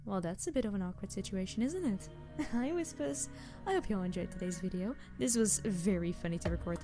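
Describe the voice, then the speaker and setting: A woman hosting her own channel, talking casually to her viewers in an outro. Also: high-pitched. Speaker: voice actor and channel host.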